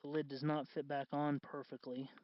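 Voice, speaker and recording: A man hosting a do-it-yourself tutorial in casual, unscripted talk, halting and correcting himself as he goes. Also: fairly high pitch, little pitch movement, muffled recording, slight background noise. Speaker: host of a DIY costume electronics tutorial.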